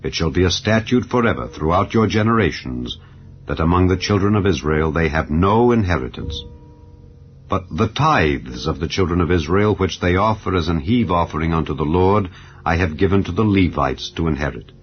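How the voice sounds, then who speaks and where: Deep-voiced man solemnly reading scripture. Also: expressive. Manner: solemn, measured, formal delivery. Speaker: scripture narrator. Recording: dull lo-fi audio under music. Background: background music.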